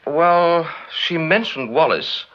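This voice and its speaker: A man playing a defensive, earnest gentleman writer under police questioning in a vintage British radio drama, in Received Pronunciation. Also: a little high, lively intonation, slow. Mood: defensive, explaining. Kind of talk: mid-century British radio drama acting. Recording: dull, band-limited vintage radio recording.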